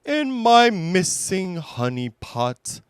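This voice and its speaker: A man voicing a cheerful, generous, simple-hearted male bear from a children's storybook, earnest and bright. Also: high-pitched, big pitch swings, drawn-out words, smooth, dry recording, clean studio sound, pitched above the performer's own voice, heavily acted.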